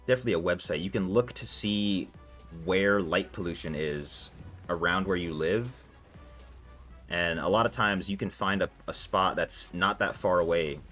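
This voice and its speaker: A man's voice: low-pitched, a bit bright. A man streaming live and casually chatting with his viewers, loose and talkative, with lots of filler words. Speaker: livestream gamer. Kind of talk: casual livestream chat answering viewers. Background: faint background music.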